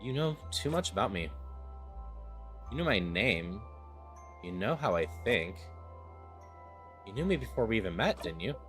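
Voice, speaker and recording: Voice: medium pitch, sing-song, moderate pace, pauses often, fairly bright tone, faint music behind, pitched below the performer's own voice. A streamer voicing a weary, guarded video game hero, reluctant and subdued, in short hesitant replies.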